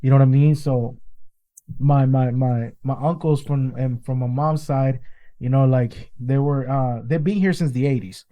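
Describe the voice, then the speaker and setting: A man from Peru talks loosely and earnestly in a casual interview, dropping "bro" every few lines. His voice is mellow and relaxed. It was recorded inside a car. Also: medium pitch, normal speed, poor audio. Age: adult with children. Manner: loose and earnest, peppered with "bro" and "you know what I mean". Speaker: guest artist.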